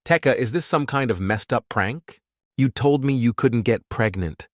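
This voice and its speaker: A man voicing an earnest, excitable, soft-hearted husband and father in a comic dub. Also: mid-range voice, moderate pace, no room sound, studio quality, close to an everyday voice. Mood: puzzled.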